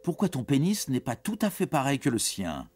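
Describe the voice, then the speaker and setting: A man's voice: medium pitch, huge pitch swings, normal speed. A man giving scripted educational narration for young teens in a reassuring, explanatory manner. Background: background music.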